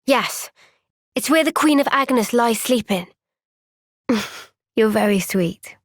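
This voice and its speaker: An actress voicing a young woman soldier in a game cutscene, soft-spoken and caring. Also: a little high, lively intonation, slow pace, no room sound, studio quality.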